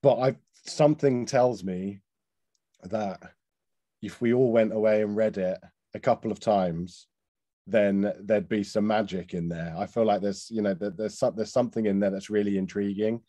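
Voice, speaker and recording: A man giving informal feedback on a panel, speaking in a halting, thoughtful way. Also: fairly low pitch, steady tone, dark tone, no room sound. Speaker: casting director on a feedback panel.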